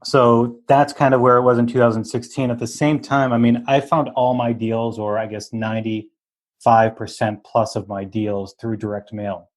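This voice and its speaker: A man's voice: mid-range voice, flat delivery, moderate pace. A man with a slight Boston accent, a real estate investor talking as a podcast guest in an easygoing, chatty way with frequent laughs. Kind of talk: casual interview chat.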